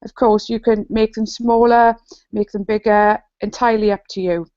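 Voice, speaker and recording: A woman's voice: mid-range voice, little pitch movement, normal speed, a little mellow, dry recording. A woman from South Wales with a Welsh accent, an author and trainer giving an enthusiastic app demo on a video call. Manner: bubbly and enthusiastic. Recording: dull lo-fi video call audio.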